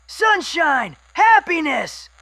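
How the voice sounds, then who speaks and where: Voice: very high-pitched, takes it slowly, gravelly, full character voice. A performer playing an enthusiastic radio DJ who loves pre-war junk in a wasteland radio drama. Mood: excited. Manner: enthusiastic, animated.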